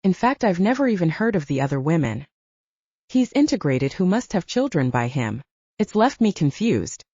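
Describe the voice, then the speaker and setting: A woman reading fiction as a first-person narrator, with expressive, measured storytelling. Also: fairly low pitch, breathy edge, no room sound, dull audio. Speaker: audiobook narrator.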